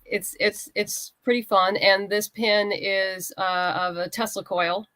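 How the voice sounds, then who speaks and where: A woman's voice: mid-range voice, fairly even intonation, slow pace, a bit bright, no echo. A woman speaking as an interview guest, chatting casually as a creator talking up a project. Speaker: guest writer and comics creator. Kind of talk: casual interview chat, plugging a crowdfunding campaign.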